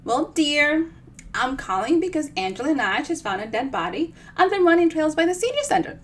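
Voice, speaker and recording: A woman's voice: high voice, very animated, fairly quick, voice pushed up. A woman voicing a cheery, spry elderly lady from a novel, sing-song and sweetly bossy. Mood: chipper, on phone.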